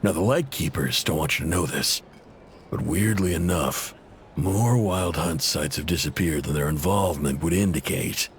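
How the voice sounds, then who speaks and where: A man's voice: low-pitched, normal speed, background noise. A man playing a proud, indebted intel broker in a video game, blunt, casual and guarded, with an exasperated edge. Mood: guarded, informative.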